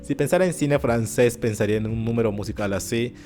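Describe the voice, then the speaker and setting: A man giving animated, sarcastic commentary in an opinion video. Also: medium pitch, fast. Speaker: YouTube commentator. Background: faint background music.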